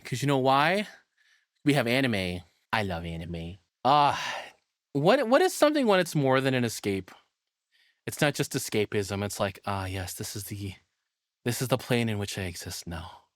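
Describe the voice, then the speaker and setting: Guy streamer reacting live, excited and rambly, voice swings around a lot, dry sound. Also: medium pitch, no echo. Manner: enthusiastic, rambling, animated. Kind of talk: casual live reaction commentary.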